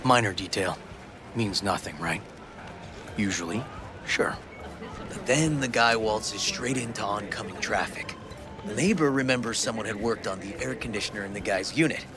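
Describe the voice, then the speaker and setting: An actor playing a hard-nosed, streetwise detective in a video game, speaking in a terse, dry, wry manner. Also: male voice, lowish voice, moderate pace, music in the background, natural voice. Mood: wry, musing. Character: cynical, hard-nosed male police detective, wry and tough. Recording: clear noise behind the voice.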